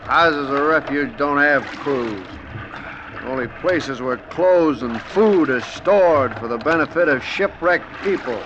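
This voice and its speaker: A level-headed, argumentative castaway in an old radio play. His slow, fairly high voice comes through a muffled, noisy recording. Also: lively intonation. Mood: sceptical. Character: shipwrecked correspondent, sceptical, level-headed, argumentative. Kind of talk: mid-century radio drama acting.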